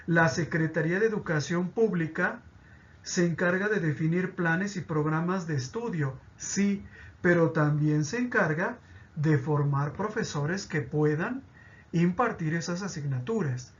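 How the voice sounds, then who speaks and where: A man's voice: sits high, a bit bright, dull audio. A man teaching a pastoral catechism lesson, explaining with examples and often checking in with "¿sí?".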